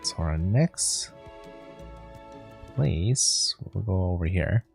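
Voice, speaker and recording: A man's voice: low voice, animated, extremely slow, creaky, darkish tone, soft background music. A man giving casual let's play commentary as he streams a video game.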